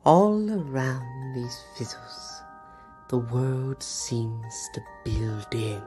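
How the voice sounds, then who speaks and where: A man's voice: medium pitch, soft background music, pitched below the performer's own voice. A man voicing a sinister, theatrical old-time horror host narrating a suspenseful tale in an ominous manner. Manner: slow, ominous, hushed suspense.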